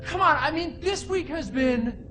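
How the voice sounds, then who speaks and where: A man's voice: extremely high pitch, expressive, measured pace. A man playing a naive, earnest crewman in a comedy, rambling and hesitant as he tries to placate people. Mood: pleading, worked up. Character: earnest, naive, waffling nice-guy crewman. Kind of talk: comic improvised-sounding dialogue. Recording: music clearly behind the voice. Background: music.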